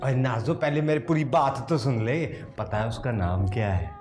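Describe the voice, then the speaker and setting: A man's voice: medium pitch, normal speed, lightly acted. An actor playing a gossipy local man in a television period drama, theatrical and teasing, in a roomy echo. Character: gossipy local man telling scandalous news.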